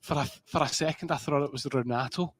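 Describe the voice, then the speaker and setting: A Scottish man calls a live poker stream in a relaxed, joking way. His voice sits a little higher than most men's. He teases and chats between hands. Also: fast talker, slight background noise. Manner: chatty and joking, sings snatches of song. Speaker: poker commentator. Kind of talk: casual live commentary.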